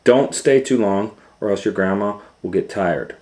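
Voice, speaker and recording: A man voicing a fussy, caring storybook mother who gives brisk, firm instructions. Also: fairly low pitch, darkish tone, voice pushed up, close to an everyday voice. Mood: firm, fussing. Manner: brisk, bossy, listing instructions.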